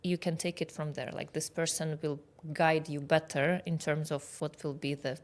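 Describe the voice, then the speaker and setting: A woman's voice: low-pitched, flat delivery, moderate pace. A woman in her thirties from Kazakhstan with a Russian accent, explaining things conversationally as an expert interview guest. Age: 30s. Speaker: guest expert, aesthetic doctor.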